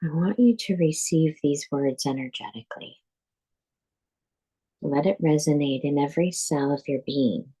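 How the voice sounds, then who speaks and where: A woman guiding a yoga nidra meditation as a teacher, speaking calmly and deliberately in measured phrases with pauses. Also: low-pitched, breathy edge, rough recording. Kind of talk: guided meditation, scripted instruction.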